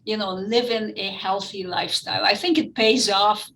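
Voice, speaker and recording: A woman's voice: medium pitch, fairly even intonation, normal speed, fairly bright tone, a little hiss or hum, rough recording. A middle-aged woman with a French-influenced Lebanese accent, giving earnest, explanatory answers in an interview. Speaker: interview guest, physician-researcher.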